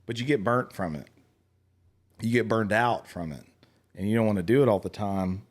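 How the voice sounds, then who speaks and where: Lowish-voiced man chatting bluntly. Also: moderate pace. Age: 40s. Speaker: podcast host. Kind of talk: casual chat.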